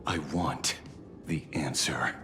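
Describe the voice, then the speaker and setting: A low-voiced, weary investigator speaks slowly and deliberately for a video game. He is proud, sardonic and vengeful, with music playing behind him. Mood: hard, demanding. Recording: long reverb, music behind.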